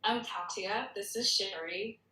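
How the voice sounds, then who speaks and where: A woman's voice: mid-range voice, a little slow, bright, forceful tone, reverberant, a little hiss or hum, poor audio. A woman reading a confident, playful female character at a screenplay table read. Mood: gracious. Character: polished, confident society hostess, and a giggly psycho young bartender.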